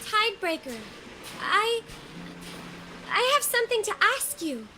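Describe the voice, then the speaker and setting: A woman voicing a gentle, earnest young female acolyte in a video game, speaking formally and courteously. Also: very high voice, sing-song, unhurried, background noise, heavily acted. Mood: earnest, apologetic. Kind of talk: anime-style video game voice acting.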